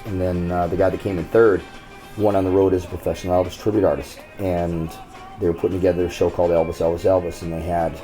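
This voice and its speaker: A man from Canada, a singer being interviewed, telling stories in a loud, relaxed and frank way. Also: low-pitched, poor audio. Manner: loud, relaxed storyteller with a frank sense of humor. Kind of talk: casual interview.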